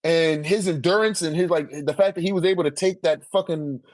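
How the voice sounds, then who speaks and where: A man's voice: a little high, brisk, a little mellow, no room sound. A man in a casual debate, speaking informally with heavy slang and swearing. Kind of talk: casual debate about manga character rankings.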